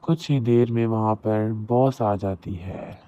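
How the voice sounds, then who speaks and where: Slow-paced male story narrator. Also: fairly low pitch, warm tone. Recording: roomy echo, very thin phone-like audio.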